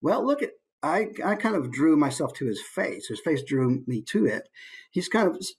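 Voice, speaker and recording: A man's voice: a little high, normal speed. A man giving a casual, playful instructional talk as a voice actor. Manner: animated, playful.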